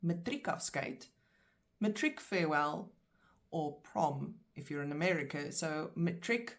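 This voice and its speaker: A South African woman with a low voice works through a vocabulary list. She is middle-aged, speaks Afrikaans as her first language, and says each word slowly and distinctly before translating it. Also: animated. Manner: slow, clear word-by-word pronunciation. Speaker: language teacher. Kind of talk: vocabulary lesson.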